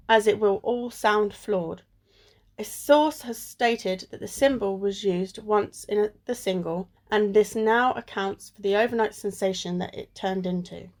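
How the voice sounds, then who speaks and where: A woman playing a clever, level-headed amateur sleuth in an amateur radio play, with a measured delivery. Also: medium pitch, darkish tone, lightly acted. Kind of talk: amateur radio play acting, often reading articles aloud. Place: recorded alone at home.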